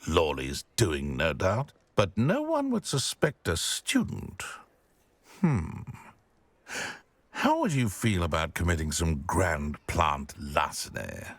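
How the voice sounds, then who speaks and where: An actor playing a scheming, gleeful middle-aged shopkeeper in a video game, with a theatrical, relishing delivery. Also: male voice, low voice, huge pitch swings, takes it slowly, a bit bright, dry recording, full character voice. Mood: conspiratorial. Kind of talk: video game dialogue acting.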